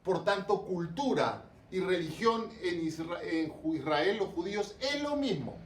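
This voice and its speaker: A man's voice: sits high, expressive, unhurried, reverberant. Peruvian guy teaching religion class, kinda far from the mic, some faint noise behind. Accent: Peruvian Spanish, Lima. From Peru, coast (Lima). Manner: explanatory, rhetorical questions, frequent fillers. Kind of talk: online class lecture to students.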